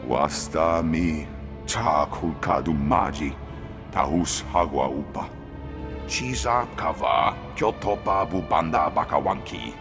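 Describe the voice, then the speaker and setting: An actor voicing a male alien companion in a video game, speaking an invented tongue in a deliberate manner. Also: extremely low pitch, music in the background, exaggerated voice. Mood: solemn.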